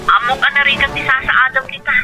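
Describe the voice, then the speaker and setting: A woman's voice: sits high, little pitch movement, fast talker, over music, natural voice, poor audio. A woman with a Filipino accent playing an adult elder sister, emotional and reluctant, in a radio drama style. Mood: apologetic, subdued. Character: adult elder sister working abroad, reluctant then apologetic. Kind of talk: Ilocano radio drama acting.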